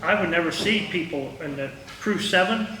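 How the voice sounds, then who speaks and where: A man with a Midwestern American accent and a bright, forceful, slightly high voice gives an informal talk. He rambles and halts as he reminisces, jumping from thought to thought. He is picked up from a distance in a roomy space. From small-town Indiana, United States. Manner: rambling, halting, jumps between thoughts. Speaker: retired air traffic controller giving a talk. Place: echoey meeting room at a historical society. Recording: roomy, distant mic with faint noise.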